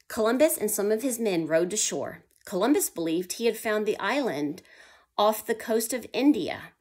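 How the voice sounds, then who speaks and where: A woman teaching history to young children, reading aloud and stopping to ask and answer her own questions, sometimes dropping to a whisper. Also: medium pitch. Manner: asks children questions and answers them herself; drops to a whisper for suspenseful parts.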